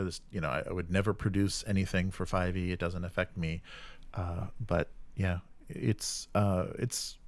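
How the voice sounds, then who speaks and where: A man hosting a casual tabletop gaming livestream, musing and commenting in a rambling, halting way. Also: low-pitched, a little mellow, no echo. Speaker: host of a live tabletop gaming stream. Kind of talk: casual live commentary with reading of chat comments.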